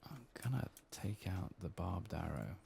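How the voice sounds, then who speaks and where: A man giving soft-spoken, partly whispered ASMR commentary as he plays a game, murmuring his thoughts aloud. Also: deep voice, sing-song, a little slow, vocal fry, slight background noise. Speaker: gaming channel host.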